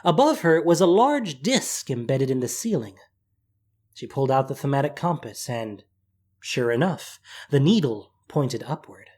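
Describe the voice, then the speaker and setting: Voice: medium pitch, sing-song, measured pace, a little mellow. A narrator reading scripted prose for an audio drama. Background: music.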